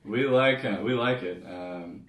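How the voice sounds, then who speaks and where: A man's voice: on the low side, a little slow, echoey room, distant mic, poor audio. A man from Canada, a guest musician, talking in an easygoing way and hedging often with "I mean". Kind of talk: casual chat.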